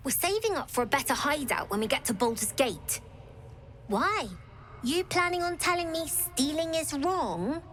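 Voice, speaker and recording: A woman's voice: high-pitched, expressive, normal speed, fairly bright tone, a little hiss or hum. A woman voicing a brash, businesslike young girl who leads child thieves in a video game. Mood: defiant. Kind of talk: video game dialogue acting.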